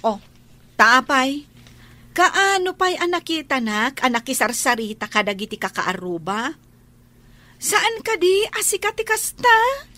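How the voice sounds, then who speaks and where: Radio drama: devout, admonishing woman friend, Ilocano accent, high lively voice, caring. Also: a little slow, long pauses, heavily acted.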